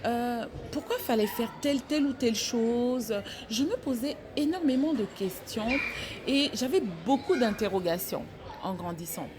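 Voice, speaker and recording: A woman from French Guiana, the founder of a fashion brand, talks about her childhood in an interview, slowly and hesitantly, with many fillers. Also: a little high, lively intonation, noisy background.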